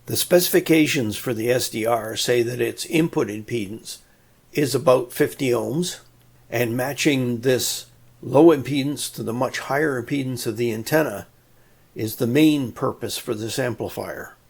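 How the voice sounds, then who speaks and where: A man's voice: medium pitch, normal speed, creaky. A man giving an explanatory technical narration in a methodical, plain-spoken way with a touch of dry humour. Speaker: presenter of an electronics tutorial.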